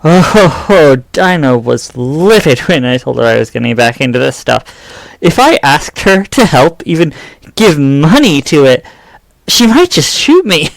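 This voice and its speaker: A man playing an amiable but worried, practical character in a fan audio drama, speaking haltingly. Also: fairly high pitch, sing-song, vocal fry, dry recording, exaggerated voice, low-quality recording. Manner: hesitant, halting.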